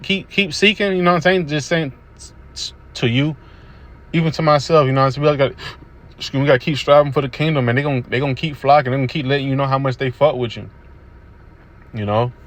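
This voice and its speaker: A man giving casual religious commentary over video clips, laid-back and repetitive, with many fillers. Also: medium pitch. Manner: laid-back, repetitive with "you know what I'm saying".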